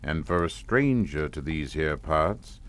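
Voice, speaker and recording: An actor playing a courtly, sinister old plantation patriarch with a folksy Southern US drawl in an audio drama. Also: male voice, very deep voice, expressive, takes it slowly, fairly bright tone, exaggerated voice. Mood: folksy, ominous.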